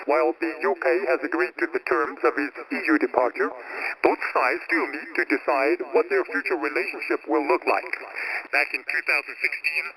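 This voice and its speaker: A man reading a scripted news bulletin in a formal, measured way, heard over a crackly shortwave radio broadcast. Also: a little high, gravelly. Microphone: broadcast heard over shortwave radio receiver.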